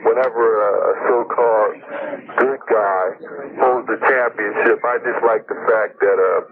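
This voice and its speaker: A tinny phone line with faint noise. A man with a slightly low voice phones a talk show from home to give his opinion. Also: steady tone, a little slow. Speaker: caller from home. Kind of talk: radio call-in opinion. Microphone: telephone.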